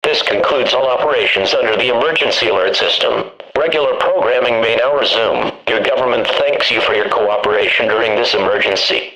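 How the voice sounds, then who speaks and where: A man reading a scripted emergency broadcast announcement in a formal, measured manner as an alert announcer. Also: medium pitch, bright, forceful tone. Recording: dull, noisy, echoing radio-style broadcast.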